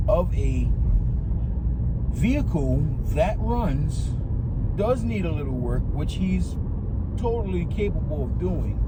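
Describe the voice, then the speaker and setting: Man vlogging over loud car noise. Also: fairly high pitch. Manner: conversational, emphatic repetition, addresses viewers directly. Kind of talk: casual storytelling vlog to viewers. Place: inside a moving car. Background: engine and road noise.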